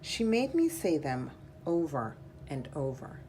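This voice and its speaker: A woman reading a children's picture book aloud in a measured, deliberate storytelling style. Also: low-pitched, very animated, mellow, slight background noise.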